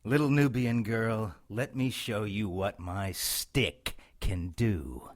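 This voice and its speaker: A man playing a vengeful, arrogant witch villain in an audio drama, with a sinister, taunting delivery. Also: fairly low pitch. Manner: theatrical, sinister, drawn-out taunting.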